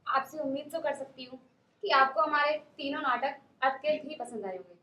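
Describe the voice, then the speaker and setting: A nineteen-year-old woman from Uttar Pradesh presents a student drama show from the stage, polite and measured. Her voice sits high and bright, and it rings around an echoey hall. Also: steady tone, recorded from a distance. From Uttar Pradesh, India. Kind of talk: formal stage announcement.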